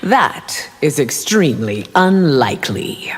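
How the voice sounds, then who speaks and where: A stern, righteous empress from a fighting game. Her low voice moves slowly and formally with dramatic rises and falls. It rings with long reverb over music. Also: very animated, husky, full character voice. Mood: righteous anger. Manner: imperious, formal phrasing. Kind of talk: fighting-game confrontation dialogue.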